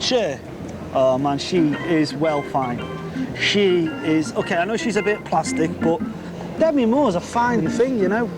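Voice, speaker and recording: A man's voice: high-pitched, lively intonation, measured pace, music in the background. A man in his twenties talking in a brash, crude, casual way, swearing freely. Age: 20s. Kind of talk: casual interview chat.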